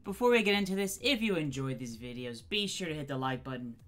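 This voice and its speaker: Raspy, nasal man hosting gaming news. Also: on the high side, animated, fast, fairly bright tone. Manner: chatty, many ums, reads quotes from screen. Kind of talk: casual news commentary. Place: home streaming room.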